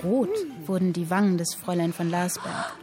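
A woman acting as storyteller, narrating a historical tale in a radio play with deliberate, vivid phrasing. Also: on the low side, expressive, close to an everyday voice. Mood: vivid, dramatic. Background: music.

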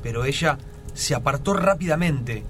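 A man's voice: a little high, lively intonation, moderate pace, a little hiss or hum. A man narrating a scripted radio drama as storyteller. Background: loud music.

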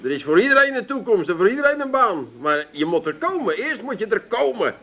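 An actor playing a gloomy, distrustful, penniless brother-in-law with an Amsterdam accent in a radio comedy. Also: male voice, high voice, very animated, a little fast, a bit bright, muffled recording, exaggerated voice. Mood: self-pitying. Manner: complaining, theatrically self-pitying.

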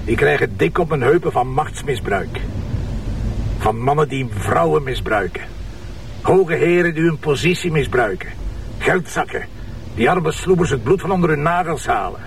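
An actor playing a gruff, impatient police commissioner in a TV crime drama, blunt and sarcastic, with a Flemish accent. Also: male voice, on the high side, expressive, normal speed, recorded from a distance, slight background noise. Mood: brusque. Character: gruff, irritable male police commissioner with a sharp tongue. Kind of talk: naturalistic TV crime drama acting.

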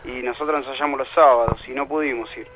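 A man's voice: medium pitch, steady tone, fast, dry recording. A man with a Buenos Aires accent chatting casually and colloquially, as an interviewed musician on the phone. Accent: Buenos Aires Argentine Spanish. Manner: colloquial, slangy. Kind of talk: casual chat. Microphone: telephone. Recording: tinny band-limited phone line.

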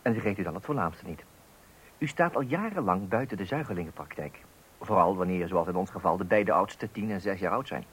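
A man's voice: sits low, expressive, a little fast, a bit bright, slightly rough, heavily acted, rough recording. An actor playing a put-upon father of three, chattily narrating to listeners in a mock-solemn radio comedy style. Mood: wry, confiding. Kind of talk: comic narration addressed to listeners. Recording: old radio play recording.

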